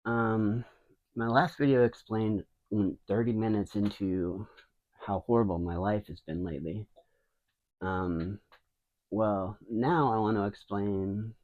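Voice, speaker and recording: A man in his fifties with a low, quiet voice, who mumbles and rambles with many restarts as he talks to camera. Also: narrow, tinny audio. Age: 50s. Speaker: vlogger. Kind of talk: casual talking to camera. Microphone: wireless mic into a phone.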